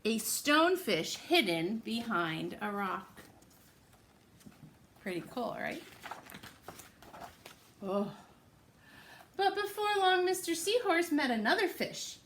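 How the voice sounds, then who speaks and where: A woman reads a picture book aloud to young children like a teacher, pausing to ask them questions and explain words simply. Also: fairly high pitch, sing-song, fairly bright tone, slight background noise. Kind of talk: picture-book read-aloud with chatty asides.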